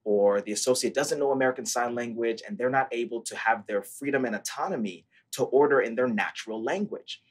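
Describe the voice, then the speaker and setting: Husky young man telling his story. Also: medium pitch, fairly quick, hardly any pauses. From Brazil. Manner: earnest, articulate, reflective. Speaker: startup founder, guest. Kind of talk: interview storytelling. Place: living room, at home. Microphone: earphone headset mic on video call.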